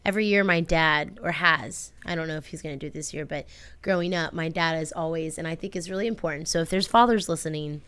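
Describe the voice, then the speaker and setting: A woman's voice: low voice, fast talker, a bit bright, clean tone. An American woman co-hosting a radio show, chatting in an informal, playful way. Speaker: radio co-host. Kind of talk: casual chat.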